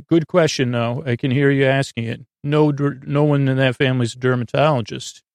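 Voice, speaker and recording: A man with a creaky, gravelly voice hosts a bedtime podcast. He meanders through improvised digressions, stopping and restarting mid-word. The sound is close and very dry, and his pitch sits in a middle male range. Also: steady tone, brisk, a little mellow, no room sound. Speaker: podcast host. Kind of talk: rambling improvised bedtime monologue.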